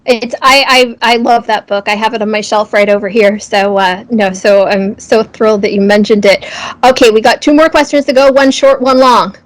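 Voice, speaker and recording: Higher-voiced woman, enthusiastic interview host. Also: fairly even intonation, moderate pace, rough recording. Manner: enthusiastic, appreciative. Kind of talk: friendly live interview hosting.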